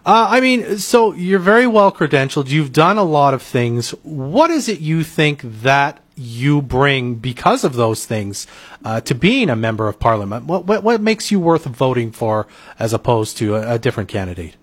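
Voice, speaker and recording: A man's voice: mid-range voice, big pitch swings, moderate pace, breathy edge. A man hosting a local radio interview show, asking questions in a hesitant way with many filler sounds. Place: radio studio.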